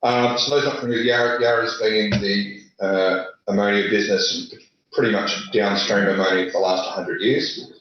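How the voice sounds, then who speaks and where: A man speaking as a panelist at an industry conference, halting, with many filler sounds and restarts. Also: on the low side, fairly even intonation, a bit bright, slight background noise. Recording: echoey, dull lo-fi audio.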